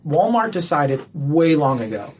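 A man's voice: a little high, sing-song, measured pace, a little mellow, breathy edge. A man in a casual podcast discussion, making his case with rhetorical questions and little acted-out quotes. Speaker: podcast guest or co-host.

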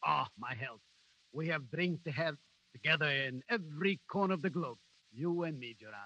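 An actor playing a jolly, sentimental doctor with an Italian accent in a 1930s radio play. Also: male voice, on the high side, moderate pace, sharp tone. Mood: jovial, wistful. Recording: dull 1930s radio broadcast.

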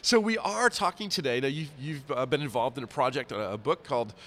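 A man with a raspy, nasally congested voice, chatting casually as a podcast host. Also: medium pitch, brisk, a bit bright. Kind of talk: casual interview chat. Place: conference center during a busy event. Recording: live at a busy event venue.

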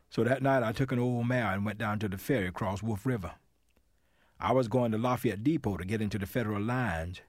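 Male actor as formerly enslaved Southern witness, lowish voice, sober, measured, plain dramatic testimony, close dry recording. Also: no echo, close to an everyday voice. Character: formerly enslaved Tennessee man soberly testifying to brutal punishment. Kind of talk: dramatic first-person testimony.

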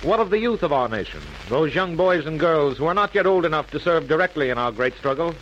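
A man's voice: a little high, animated, a little fast, a bit bright. A man playing an earnest, oratorical narrator of a patriotic wartime radio documentary, in 1940s radio style. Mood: earnest, stirring. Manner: oratorical, rhetorical. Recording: dull, noisy old 1940s radio recording.

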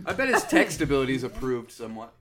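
A man's voice: mid-range voice, sing-song, quick pace, a crowd in the background. A man in his thirties, a band guest teasing and wisecracking in a casual chat. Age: 30s.

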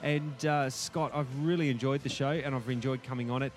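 A man's voice: medium pitch, steady tone, fairly quick. An Australian man speaking casually as a podcast guest, hedging and repeating words for emphasis. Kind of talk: casual chat.

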